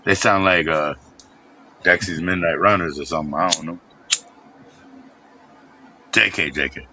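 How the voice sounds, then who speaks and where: A man's voice: deep voice, measured pace, background noise. A man chatting casually as a podcast host, laid-back, swearing and laughing often. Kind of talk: casual chat.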